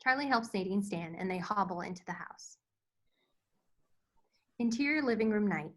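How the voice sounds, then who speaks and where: A woman narrates a screenplay, reading out the stage directions as scripted narration. Her voice sits at a middle pitch. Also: expressive, normal speed. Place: virtual table read over video call.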